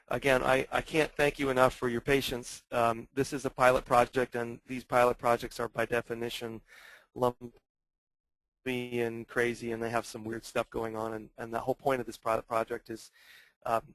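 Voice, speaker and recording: Gravelly man hosting a webinar Q&A. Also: medium pitch, no room sound, rough recording. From born into a German family, raised in the US. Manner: hesitant, many ums and restarts. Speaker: webinar host running a coaching pilot.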